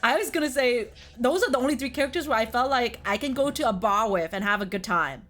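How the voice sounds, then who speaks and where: A woman with a fairly high, very expressive voice hosts a casual gaming chat. Her pitch moves around a lot as she talks through her opinions informally. Light music plays underneath. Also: fast talker. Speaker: host of a gaming tier list stream.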